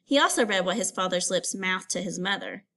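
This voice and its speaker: A woman teacher giving a read-aloud lesson for children, instructive and expressive, as if putting questions to pupils. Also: fairly low pitch, brisk.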